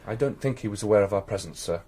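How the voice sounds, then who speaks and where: A man's voice: fairly low pitch, fast, warm tone, breathy edge. An actor playing an eager young police constable in a radio drama, earnest, formal and deferential as he reports. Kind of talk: naturalistic radio drama acting.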